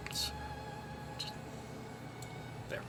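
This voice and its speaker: A man giving casual gameplay commentary as he streams a game. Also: extremely slow. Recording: loud game music over voice.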